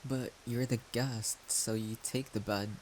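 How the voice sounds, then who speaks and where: Man playing shy young male friend, audio roleplay, gentle and reassuring, unhurried delivery, soft-spoken, faint hissy noise behind. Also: mid-range voice, steady tone, close to an everyday voice, rough recording. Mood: awkward, hesitant. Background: rain-like noise.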